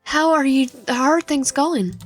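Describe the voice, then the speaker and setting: A polite, busy young toy shop clerk from a visual novel, read out by a woman. The manner is helpful customer service with some halting. Music plays underneath. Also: high-pitched, expressive, voice pushed up. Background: background music.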